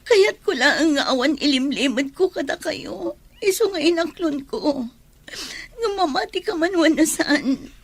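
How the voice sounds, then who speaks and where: A woman plays an apologetic young friend, earnest and pleading, in Filipino radio drama. Her pitch is extremely high, and she has a Filipino accent. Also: lively intonation, unhurried.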